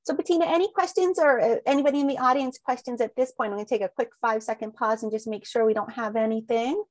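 A woman's voice: on the high side, quick pace, a little mellow, no echo, poor audio. A woman presenting a webinar over slides, talking through a long run of rhetorical questions with frequent ums, and sounding a little sniffly from allergies.

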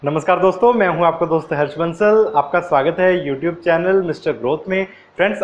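A young man presenting a tutorial to camera in a small indoor room, speaking hesitantly, with drawn-out pauses. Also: sits high, a little hiss or hum. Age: young adult. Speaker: tech channel host. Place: small indoor office or shop. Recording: dull, lo-fi camera sound.

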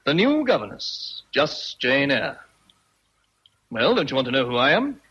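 An actor playing a sardonic, commanding master of a great house, theatrical in a radio drama. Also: male voice, mid-range voice, wildly swooping pitch, a little slow, sharp tone, slightly rough, lo-fi sound, heavily acted. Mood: mocking.

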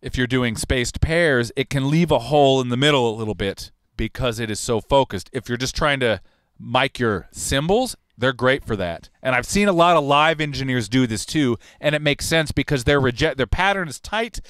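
Smooth-voiced man chatting about recording. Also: mid-range voice, normal speed, no echo, studio quality. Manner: relaxed, rambling, technical. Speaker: recording engineer and host. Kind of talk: casual livestream talk on recording. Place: recording studio control room. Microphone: large broadcast mic on arm, headphones on.

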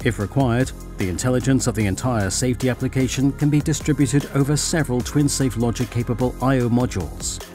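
Male trade show TV narrator, warm low-key tone, soft music bed under the read. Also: a little fast, few pauses. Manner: even, polished promotional delivery. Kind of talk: scripted narration. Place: studio voice-over.

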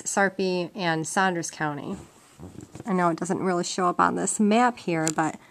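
A woman gives an informal, practical step-by-step demonstration in a conversational, explanatory way. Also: fairly low pitch. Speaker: instructor demonstrating a gadget. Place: indoors at a wooden table. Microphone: handheld camera mic, off screen. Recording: noisy amateur camcorder sound with clicks and beeps.

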